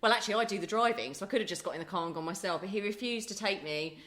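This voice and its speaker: A middle-aged woman co-hosting a podcast, chatting casually in a loud, bubbly, teasing way. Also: medium pitch, rapid-fire. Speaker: podcast co-host. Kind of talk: casual chat. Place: home in Ibiza.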